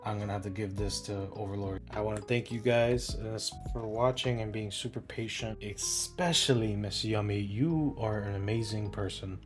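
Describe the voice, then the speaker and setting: A young man talking casually in a flat, monotone way, rambling with many restarts and fillers as he breaks down a versus battle. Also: fairly low pitch, moderate pace, few pauses. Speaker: YouTube versus-battle analyst. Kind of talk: casual spoken analysis over music. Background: lo-fi hip hop music.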